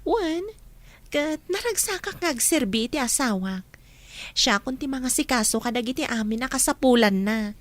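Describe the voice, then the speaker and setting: High-voiced devoted young Filipino wife. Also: female voice, animated, moderate pace, no room sound. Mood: happy, loyal. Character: devoted young newlywed wife, contented and loyal. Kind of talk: Filipino radio drama acting.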